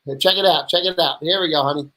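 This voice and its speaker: A man's voice: a little high, quick pace, sharp tone, low-quality recording. A man hosting a casual video chat, speaking in a familiar, lightly teasing way. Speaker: chat show host. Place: video call.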